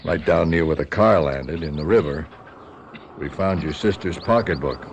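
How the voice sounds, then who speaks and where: An actor with an American accent playing a folksy, plainspoken local lawman in a radio mystery, halting and colloquial. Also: very deep voice, background noise. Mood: awkward, sympathetic. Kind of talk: radio mystery drama acting.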